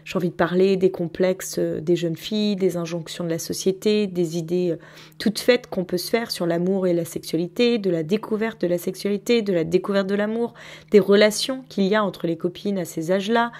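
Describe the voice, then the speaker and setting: A woman's voice: fairly low pitch, brisk, darkish tone. A woman in her thirties, a novelist recording a spontaneous spoken diary, reflective and thinking aloud as she talks about her writing. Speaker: novelist keeping an audio diary.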